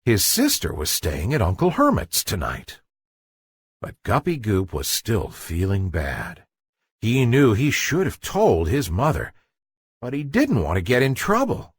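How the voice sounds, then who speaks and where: A man's voice: fairly low pitch, huge pitch swings, unhurried, husky, dry recording, studio quality. A man narrating a children's bedtime story expressively, building suspense in a dramatic way.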